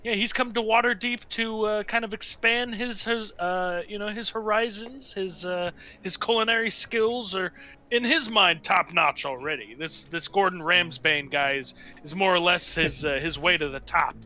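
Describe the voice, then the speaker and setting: A man casually describing his roleplaying character off the cuff, halting and hesitant, with tongue-in-cheek humor. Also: sits high, bright, forceful tone. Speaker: player in a tabletop roleplaying game.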